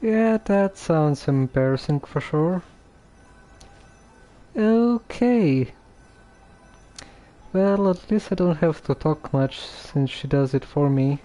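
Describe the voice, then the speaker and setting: A man's voice: a little high, animated, mellow, soft background music. A man giving casual, hesitant live commentary as he plays a game on stream. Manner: hesitant, halting.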